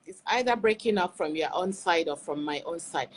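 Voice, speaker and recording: A woman's voice: lowish voice, animated, moderate pace. A woman hosting an opinionated livestream commentary, speaking emphatically and repeating phrases to drive her points home. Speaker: online video host and commentator. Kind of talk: opinionated social media commentary, livestream talk.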